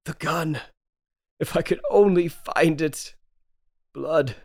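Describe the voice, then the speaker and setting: A woman's voice: low voice, slow, darkish tone, exaggerated voice. A woman playing a tormented, desperate spouse in an overwrought amateur reading of a radio horror melodrama. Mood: despairing. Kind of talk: amateur table read of 1930s radio horror.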